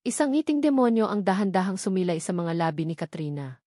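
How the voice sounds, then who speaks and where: A woman's voice: fairly low pitch, big pitch swings, fairly quick, warm tone, dry recording. Woman narrating dramatic fiction, dry close sound. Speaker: narrator of a romance drama story. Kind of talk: scripted dramatic story narration.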